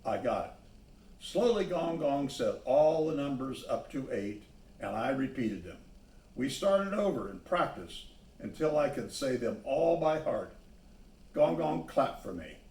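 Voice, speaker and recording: Male picture-book reader, unhurried delivery, medium pitch, addressing young listeners, recorded at a distance in a roomy space. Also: very animated, gravelly, a little mellow. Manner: pauses to point out the pictures. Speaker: storybook reader. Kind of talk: read-aloud children's story narration with asides on the pictures. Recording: echoey room, distant microphone.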